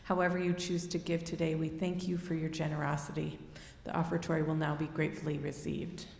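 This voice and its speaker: A woman reading offertory announcements at a worship service in a steady, read-aloud way. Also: deep voice, a little fast, creaky. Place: church sanctuary. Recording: long hall reverb.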